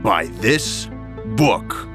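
A man's voice: lowish voice, expressive, drawn-out words, over music. An actor playing an eccentric male butcher turned coroner, blunt, muttering and matter-of-fact. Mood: clinical.

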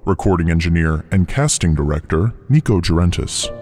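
A man reading the closing credits of a podcast in a steady, measured way. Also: low voice.

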